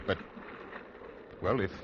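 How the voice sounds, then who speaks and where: A man playing a stern, suspicious ship's captain in an old-time radio drama, with measured, sometimes halting delivery. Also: natural voice. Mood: suspicious, torn. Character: wary, stern male ship's captain, grudgingly bargaining. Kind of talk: old-time radio drama acting and first-person narration. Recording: noisy, muffled vintage radio recording.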